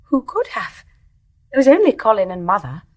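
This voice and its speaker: An actress playing an anxious, well-bred young British widow with a genteel manner in a radio drama. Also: female voice, mid-range voice, moderate pace. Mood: defensive. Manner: genteel, expressive. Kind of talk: British stage-play radio acting.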